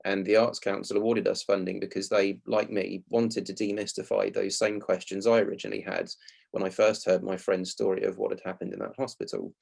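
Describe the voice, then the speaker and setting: A man presenting a prepared public talk in a measured, articulate, explanatory way. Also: low voice, level tone, gravelly, a little mellow, husky. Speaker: playwright and actor giving a talk.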